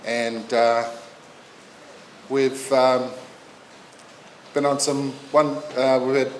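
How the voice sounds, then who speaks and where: A man in his fifties giving a heartfelt funeral eulogy, halting often as he searches for his words. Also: medium pitch, flat delivery, slight background noise. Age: 50s. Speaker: family member giving a eulogy. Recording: roomy echo.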